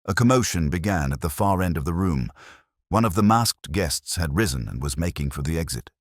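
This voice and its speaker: Male audiobook narrator for a mystery: very deep, expressive intonation, formal and measured. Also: no room sound, clean studio sound. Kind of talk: scripted mystery story narration.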